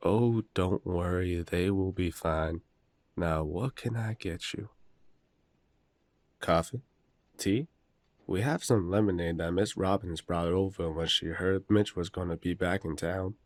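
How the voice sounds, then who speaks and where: Guy playing big brother werewolf in an audio rp, real low voice, polite but bossy, close dry sound. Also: unhurried, dry recording. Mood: gentle, reassuring. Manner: gently authoritative, polite, scolding his brothers. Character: protective, courteous eldest brother werewolf, man of the house. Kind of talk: roleplay audio drama acting.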